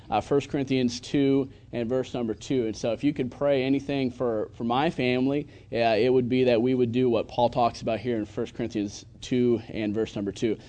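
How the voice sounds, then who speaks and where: Halting, earnest pastor in his thirties. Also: medium pitch, darkish tone. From Michigan, USA. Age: 30s. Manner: earnest, halting, with many filler sounds. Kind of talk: church sermon and prayer.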